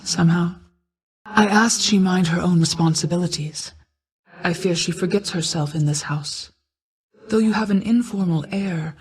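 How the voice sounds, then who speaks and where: A woman playing an ancient, stern yet motherly goddess of night in a video game, speaking in a formal, measured, restrained way. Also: lowish voice, darkish tone, lightly acted, rough recording. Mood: guarded, solemn, then stern, reproving. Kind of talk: scripted video game voice acting.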